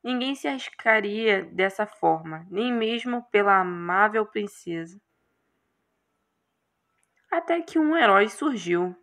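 A woman's voice: medium pitch, expressive, takes it slowly, fairly bright tone. A woman with a Brazilian accent, hosting a casual gaming let's play and reading aloud as she goes. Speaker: gaming channel host.

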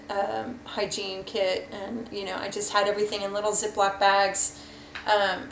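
A woman in her thirties talks through her hiking gear in a frank, rambling way, with plenty of "um" and "like." Her voice stays flat and even in pitch. It is caught by a webcam in a bouncy home kitchen with a faint hum of ambience behind her. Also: creaky. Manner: chatty, rambling, frank, many fillers. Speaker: hiking vlogger. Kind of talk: casual gear review. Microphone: webcam at desk. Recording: echoey room, faint ambience.

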